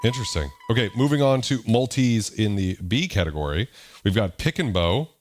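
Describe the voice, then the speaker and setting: A man hosting a casual livestream, thinking aloud in a relaxed, slightly growly voice as he tries out sounds. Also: on the low side, brisk, loud music behind the voice. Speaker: livestream host. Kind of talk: casual livestream chat while demoing a synth. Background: loud synthesizer playing.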